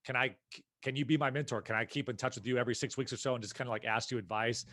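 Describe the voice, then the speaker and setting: An American man speaks as a guest on a podcast, in a conversational and opinionated way. His voice sits at an average male pitch, and he puts emphasis on his points. The sound is dry, with no room echo. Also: steady tone, rapid-fire, a bit bright. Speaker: podcast guest, company founder and CEO. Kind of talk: casual interview conversation.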